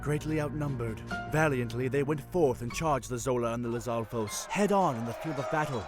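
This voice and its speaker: A man voicing a tall, excitable young fish-folk prince who tells tales in a grand, theatrical way, in a fan audio drama. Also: mid-range voice, brisk, lightly acted. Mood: dramatic storytelling. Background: music.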